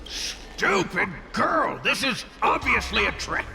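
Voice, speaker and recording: An actor playing a cranky, scornful spirit creature in an anime-style game, with a sneering, theatrical delivery. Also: male voice, high-pitched, big pitch swings, slow, gravelly, over loud music, heavily acted. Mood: furious. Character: cranky, scornful male tail spirit, mocking and impatient.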